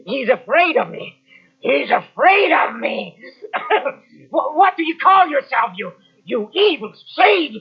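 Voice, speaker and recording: An actor playing a haunted Frenchman descending into madness in an old radio horror drama, halting and melodramatic, with repeated broken phrases. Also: very high-pitched, very animated, creaky, slightly rough, full character voice. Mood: hysterical. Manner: halting, breathless repetitions, melodramatic. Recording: muffled old radio drama cassette transfer.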